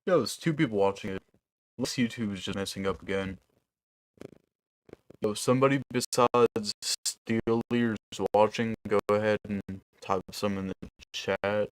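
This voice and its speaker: A man's voice: lowish voice, normal speed, dry recording, rough recording. A young man producing music on a livestream, chatting loosely with his viewers in a rambling, casual way. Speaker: music producer streaming live.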